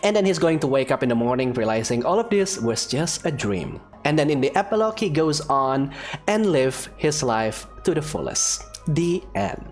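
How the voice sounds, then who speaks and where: Animated game streamer with a lively pitch. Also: moderate pace. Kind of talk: reading game text aloud with casual commentary. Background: faint music.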